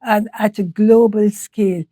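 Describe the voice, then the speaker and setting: An older woman from Trinidad and Tobago, a scientist answering interview questions in a measured way, often restarting her phrases. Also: mid-range voice, fairly even intonation, dark tone, dry recording, studio quality. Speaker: guest, nutrition scientist. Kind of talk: podcast interview.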